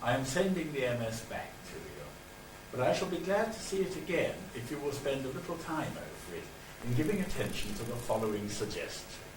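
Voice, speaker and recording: A man's voice: mid-range voice, lively intonation, a little fast. A man reading formal business letters aloud to an audience. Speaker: reader of publishers' letters. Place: conference hall. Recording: echoey room, distant mic, loud noise.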